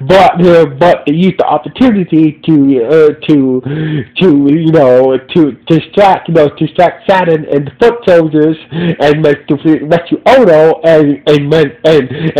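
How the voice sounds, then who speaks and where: A man's voice: a little high, dark tone, dull audio. A man with a stuffy nose hosting a podcast, recapping a TV episode in a rambling, repetitive way with many restarts. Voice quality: nasal. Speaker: reviewer and podcast host. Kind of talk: casual spoken episode recap.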